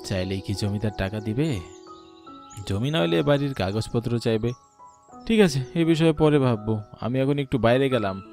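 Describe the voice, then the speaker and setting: A man's voice: medium pitch, expressive, moderate pace, a little mellow, soft background music, lightly acted. A man playing a poor, earnest village fisherman and family man in a horror cartoon. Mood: weary. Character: poor, hopeful village fisherman, husband and father.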